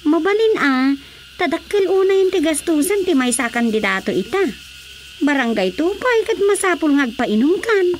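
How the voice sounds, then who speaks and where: A woman acts as a chatty Filipino critic in a radio drama. Her voice is high and swings widely as she talks. There is faint ambient noise behind her. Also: very animated, moderate pace. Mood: knowing. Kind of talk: Ilocano radio drama dialogue.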